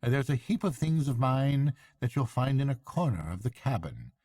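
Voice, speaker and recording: A man's voice: medium pitch, sing-song, moderate pace, darkish tone, slightly rough, dry recording, pitched above the performer's own voice. A man voicing a hearty, shrewd barge woman in a storybook reading, chatty and falsely respectful. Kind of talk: storybook character voice.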